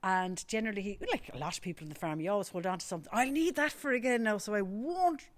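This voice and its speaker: A woman's voice: mid-range voice, animated, fast, no room sound. An Irish woman sheep farmer, talking enthusiastically outdoors on her farm during a walking interview. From Ireland. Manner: chatty, enthusiastic storyteller.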